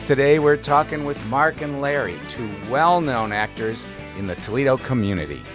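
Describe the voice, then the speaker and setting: A man's voice: mid-range voice, expressive, moderate pace, music in the background. A man co-hosting a casual podcast, talking in a joking, self-deprecating way. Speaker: podcast co-host. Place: indoors at a small theatre.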